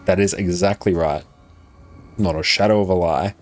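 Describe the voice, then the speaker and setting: A young Australian man with a soft, slightly British-sounding accent, chatting casually and reading chat aloud during a live game stream. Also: low-pitched, normal speed, soft background music. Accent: Australian, described as a softer, British-sounding one. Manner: relaxed, friendly, chatty banter. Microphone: desk streaming microphone.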